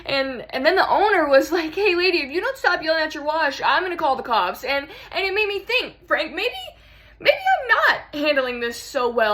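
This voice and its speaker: A woman's voice: high-pitched, a bit bright, heavily acted. A woman acting a theatrical monologue as a rattled, unravelling jilted lover, stammering and repeating her words as she pleads. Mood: manic, amused.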